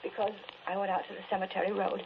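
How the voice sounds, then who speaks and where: A woman acts out an anguished, accusing woman in a 1940s radio drama, consumed by a haunting. Her medium-pitched voice comes through a muffled recording with clear noise. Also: little pitch movement, fast talker, close to an everyday voice. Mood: anguished, fearful.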